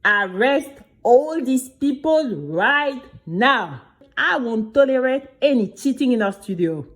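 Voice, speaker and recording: A woman's voice: high voice, lively intonation, slow pace. A woman voicing an angry, commanding male board chairman in an audio story. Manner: indignant, commanding.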